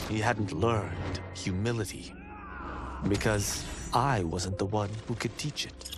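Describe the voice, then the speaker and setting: An actor playing a vain, self-assured martial arts hero turned teacher, narrating theatrically in a cocky way for a video game. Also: male voice, fairly low pitch. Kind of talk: scripted dramatic game narration.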